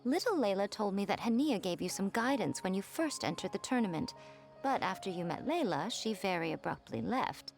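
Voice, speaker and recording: An actress playing a sly, elegant, teasing fox shrine maiden in a video game, poised and amused. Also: female voice, mid-range voice, animated, brisk, gravelly, soft background music. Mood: amused, intrigued. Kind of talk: animated game voice acting.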